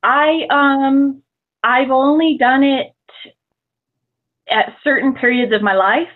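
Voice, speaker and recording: A woman's voice: sits high, takes it slowly. A woman in her twenties, a guest, chatting casually and thoughtfully over a call. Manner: thoughtful, hesitant, laughs easily. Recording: tinny call audio.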